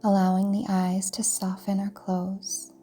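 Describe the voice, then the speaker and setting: Soft breathy woman doing guided meditation, really slow n soothing, faint music behind her. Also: medium pitch, completely flat delivery. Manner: soft, breathy, soothing, deliberate delivery with pauses. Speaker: yoga nidra teacher guiding a practice.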